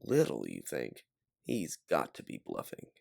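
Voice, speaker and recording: Self-reliant female bounty hunter read by a man, low pitch, dry sound, curt defiant audiobook delivery. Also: wildly swooping pitch, a little slow, vocal fry, darkish tone, no room sound, heavily acted. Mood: suspicious, dismissive. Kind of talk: audiobook character voice.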